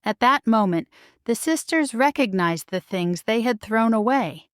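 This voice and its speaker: Lady narrating a kids bedtime story, slow and expressive, really clean dry recording. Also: fairly high pitch, clean tone, no echo. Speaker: storybook reader. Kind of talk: scripted children's story narration.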